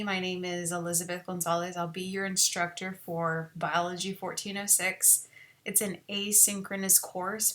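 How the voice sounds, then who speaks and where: A woman from South Texas leads an online class orientation as a college biology instructor. Her voice sits fairly low, and she talks in an easy, chatty way with plenty of fillers. The sound comes through a video call. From Rio Grande Valley, South Texas. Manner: relaxed, chatty, many fillers.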